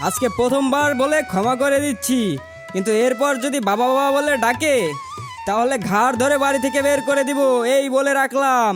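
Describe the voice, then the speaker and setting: Man acting a high-pitched, domineering fox father. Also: fairly quick. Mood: angry. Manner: scolding, threatening, domineering. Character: angry, harsh male fox father who scorns daughters. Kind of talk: dramatic children's cartoon dialogue. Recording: background music under voice.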